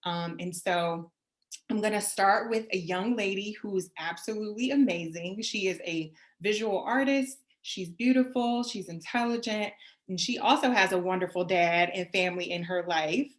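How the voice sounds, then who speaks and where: A woman's voice: mid-range voice, moderate pace. A woman hosting a virtual event, speaking in an effusive, appreciative way. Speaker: event host.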